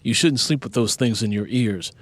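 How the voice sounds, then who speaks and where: A man playing a stern, exasperated father in an audiobook, booming and demanding. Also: normal speed, no room sound, natural voice. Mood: stern, annoyed. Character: stern, exasperated father scolding his teenage daughter.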